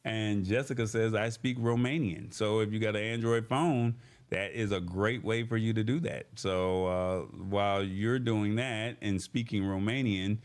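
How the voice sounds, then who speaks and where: A man with a fairly low voice hosts a tech livestream. He speaks informally and in a folksy way, addressing his viewers directly as he chats and reads things out. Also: steady tone, measured pace, few pauses. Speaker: host of a tech livestream show. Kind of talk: casual livestream chat, reading comments and news.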